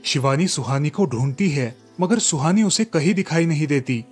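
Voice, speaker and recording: Guy narrating a kids cartoon story, slightly high voice, soft music behind. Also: moderate pace, slightly rough. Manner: steady storytelling delivery.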